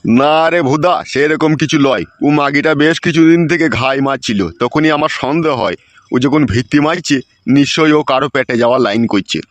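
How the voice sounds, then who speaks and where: A man's voice: on the high side, brisk, no room sound, natural voice. A man voicing an aggrieved, boastful old village husband in a comic cartoon, cursing and grumbling in a rural West Bengal accent.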